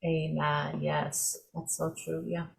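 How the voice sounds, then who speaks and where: A woman's voice: deep voice, flat delivery, takes it slowly, creaky. Woman from Samoa, chatty with many fillers, casual class discussion, video call. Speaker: class facilitator.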